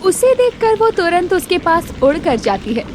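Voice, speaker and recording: A woman narrating a children's cartoon story in a scripted, expressive storytelling manner. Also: extremely high pitch, moderate pace, slight background noise.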